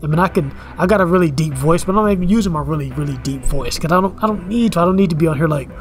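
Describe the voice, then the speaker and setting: A man's voice: fairly high pitch, racing, soft background music. A man in his thirties giving a casual fitness commentary rant at home, repeating himself and asking "you know what I'm saying" again and again. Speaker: fitness vlogger. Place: indoors at home, in front of a camera.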